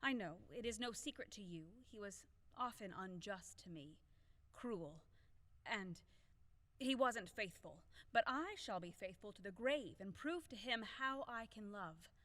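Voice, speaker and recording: An actress playing a proud, grieving young widow in an audio play, theatrical and lamenting. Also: female voice, medium pitch, animated, a little slow, sharp tone. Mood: mournful. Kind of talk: audio play acting of a classic stage comedy.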